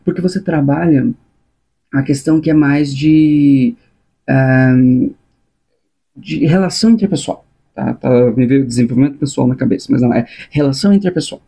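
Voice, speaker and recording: A man's voice: medium pitch, normal speed, creaky, dark tone, breathy edge. A man with a Brazilian Portuguese accent telling stories casually in a personal vlog, informal and colloquial, thinking aloud as he goes. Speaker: animation supervisor.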